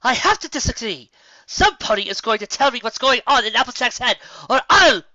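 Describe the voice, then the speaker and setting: Man putting on a haughty, high-pitched pony princess of the night. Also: sing-song, brisk, sharp tone, no room sound, highs cut off, heavily acted. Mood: indignant, furious. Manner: imperious, theatrical, formal old-fashioned wording.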